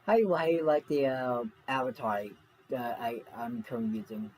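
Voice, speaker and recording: Casual male reaction streamer, mid-pitched, halting and repetitive, noisy recording. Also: darkish tone. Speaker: livestream reaction host. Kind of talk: casual livestream chat. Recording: noisy home livestream audio.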